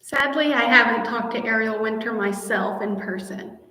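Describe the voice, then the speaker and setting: A woman with a fairly high voice hosts a casual live Q&A for fellow fans. She talks with gushing enthusiasm, chatting directly to her viewers. The room is very echoey, leaving a long reverb on her voice. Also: moderate pace, sharp tone. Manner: gushing, enthusiastic fan talk. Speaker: host of a fan channel.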